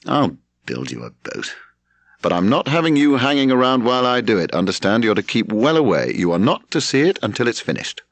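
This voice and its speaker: A man's voice: medium pitch, big pitch swings, a little fast, no echo, voice pushed up. A man voicing a grudging, bossy English older brother in an audiobook, with an offhand, exasperated manner and a British accent. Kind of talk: audiobook character dialogue.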